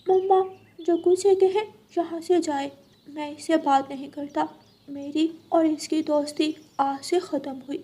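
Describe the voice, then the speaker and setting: A woman's voice: high-pitched, fairly even intonation, measured pace, warm tone. A woman voicing a sulky, indignant young boy bird in a children's moral cartoon.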